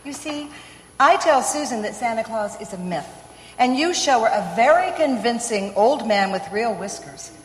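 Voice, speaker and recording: A woman playing a practical, skeptical working mother in a radio play, polite, measured and firm. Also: a little high, expressive, lightly acted. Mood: firm, controlled. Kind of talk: old-time radio play acting. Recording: echoey hall with faint ambient noise.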